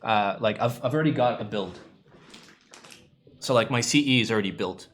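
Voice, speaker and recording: A man's voice: mid-range voice, normal speed, fairly bright tone, soft background music. A man in young adulthood, casually chatting with his live-stream viewers, rambling and swearing freely with playful groans. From United States. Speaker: keyboard streamer.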